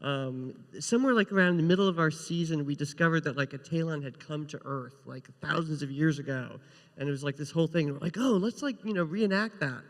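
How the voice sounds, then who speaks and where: A man's voice: on the high side, a little fast. A man telling a story casually as a panelist, in loose speech peppered with "like", in a reverberant hall. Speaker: panelist, TV writer.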